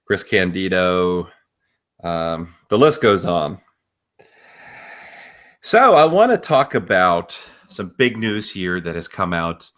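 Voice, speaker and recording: Forceful, blunt low-voiced man on a podcast. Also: big pitch swings, slow, pauses often. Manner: blunt, profane, opinionated. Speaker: podcast co-host. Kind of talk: casual wrestling news discussion.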